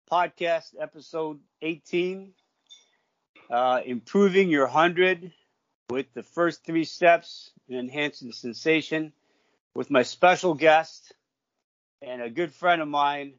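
A man's voice: fairly high pitch, muffled recording. A man hosting a podcast, delivering scripted promotion and casual interview talk. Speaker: podcast host.

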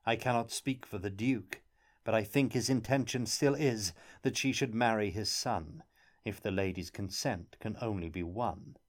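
A man voicing a gentle wandering storyteller. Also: lowish voice, moderate pace, natural voice. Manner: gentle, calm. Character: young travelling player, gentle and steady.

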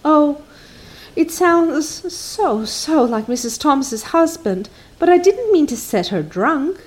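A woman's voice: very animated, slow pace, exaggerated voice, poor audio. A woman voicing a dramatic, tearful young orphan girl who wails and sobs theatrically. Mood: sobbing. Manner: wailing, theatrical, high and sobbing.

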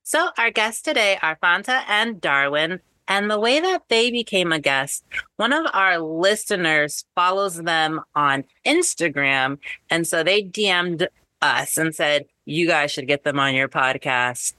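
Bright-voiced bubbly woman podcast host. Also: lowish voice, expressive, a little slow, dry recording. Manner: bubbly, chatty, laughs easily. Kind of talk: casual podcast interview.

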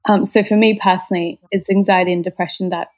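Female interview guest, slightly low voice, candid and reflective personal storytelling in a conversational style. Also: fairly even intonation, fast, a little mellow. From born in Austria, moved to Australia as a child.

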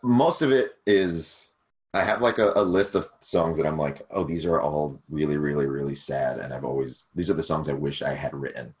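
A man's voice: rumbling low, normal speed, a little mellow. A young man chatting casually over a video call as a podcast guest, rambling and self-deprecating with lots of fillers. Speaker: podcast guest, cover singer.

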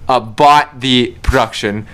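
A man's voice: medium pitch, takes it slowly, fairly bright tone. A young American man in casual podcast conversation, speaking earnestly and qualifying his points as he goes. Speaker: podcast co-host.

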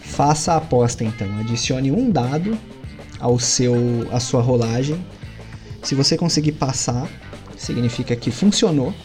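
A Brazilian man narrates a tabletop game as its game master, in a mellow, relaxed voice of middle pitch. He tells the scene with animated jumps in pitch, and faint music plays behind him. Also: moderate pace. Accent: Brazilian Portuguese. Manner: animated storytelling with sudden lifts in pitch.

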